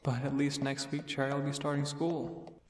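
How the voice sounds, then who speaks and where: A man's voice: mid-range voice, flat delivery, moderate pace, slight background noise, close to an everyday voice. A man voicing a young male pony, an earnest, anxious and protective big brother, in a story reading. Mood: hopeful, wistful.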